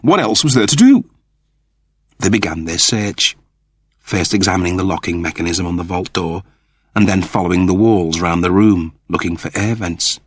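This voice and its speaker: A man's voice: low voice, steady tone, normal speed, husky, dry recording, clean studio sound. A man narrating a story as an audiobook, in a dramatic scripted style.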